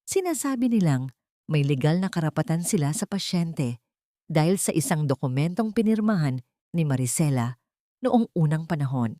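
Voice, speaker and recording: A woman's voice: sits low, sing-song, moderate pace, dark tone, no echo, studio quality. A woman voicing an anxious, deferential hospital caller who speaks politely and urgently in a narrated drama. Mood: worried.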